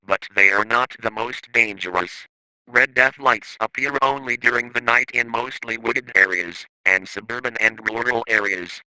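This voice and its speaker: A man delivers scripted analog horror narration with a very bright, forceful edge. His voice is crisp and clear, at a medium pitch. The delivery is formal and matter-of-fact, as in an instructional briefing. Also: no echo, low-quality recording.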